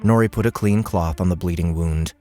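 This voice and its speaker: A male audiobook narrator with a deep, low-pitched voice. He delivers scripted fiction as dramatic narration. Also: fairly quick, warm tone, soft background music.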